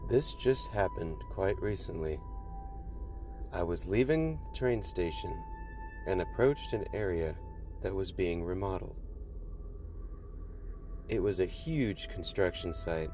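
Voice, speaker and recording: A man hosting a podcast, reading creepy first-person stories aloud in a measured, deliberate narration. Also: deep voice, animated, dark tone. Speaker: podcast host and reader. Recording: music under the voice. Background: background music.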